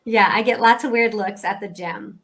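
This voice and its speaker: A woman's voice: mid-range voice, steady tone, moderate pace, a bit bright. A young adult woman hosting a casual podcast chat, upbeat and chatty, laughing often. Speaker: podcast host.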